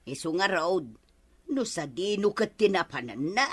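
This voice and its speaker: A woman acting a nagging, sharp-tongued village wife in an Ilocano radio comedy, with scolding, emphatic delivery. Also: on the low side, expressive, moderate pace. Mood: bossy, gossipy.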